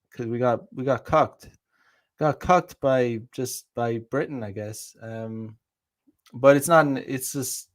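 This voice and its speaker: Irish male host, mid-range voice, rambling and profane casual monologue. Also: mid-range voice. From Ireland. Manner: rambling, profane, many restarts. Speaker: podcast host.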